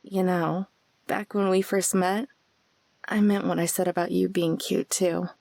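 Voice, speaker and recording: A woman's voice: lowish voice, level tone, measured pace, vocal fry, a little mellow, no room sound. A woman voicing a blunt, guarded young woman in a visual novel, with a dry, defensive manner. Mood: shy, fond.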